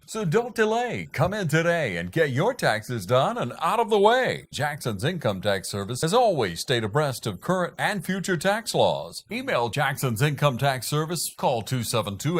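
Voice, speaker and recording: Lively, higher-pitched male ad announcer. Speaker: advertisement announcer. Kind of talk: scripted commercial narration.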